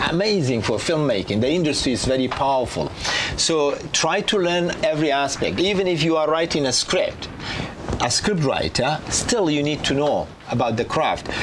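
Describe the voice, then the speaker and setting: A man's voice: medium pitch, noisy background. Man in his fifties advising film students. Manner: animated, gesturing, explaining with restarts. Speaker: guest filmmaker. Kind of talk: answering student questions. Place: small studio set with sofa. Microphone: lapel.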